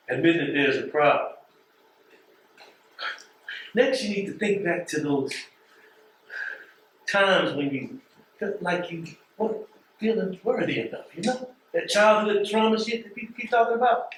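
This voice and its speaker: A man acting a blunt postal carrier in a stage play, in a fairly high male voice. He lectures emphatically and with disappointment. The voice rings in a very echoey space, some way from the mic, with faint noise. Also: animated, unhurried, long pauses, fairly bright tone, far from the mic. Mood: advising. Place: theatre stage.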